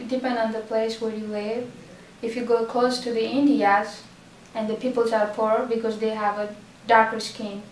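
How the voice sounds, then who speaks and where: A woman's voice: on the high side, distant mic, a little hiss or hum. Young woman from Nepal, echoey room. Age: 20s. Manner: plain, simple non-native English. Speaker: interviewee. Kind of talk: casual interview answers.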